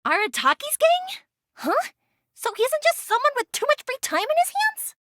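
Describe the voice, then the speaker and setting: A woman's voice: very high-pitched, moderate pace, dry recording, heavily acted. An actress voicing a cheerful, playful young woman who makes fireworks, in game character voice lines with a close studio mic. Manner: bubbly and lively, giggling, softens for serious stories.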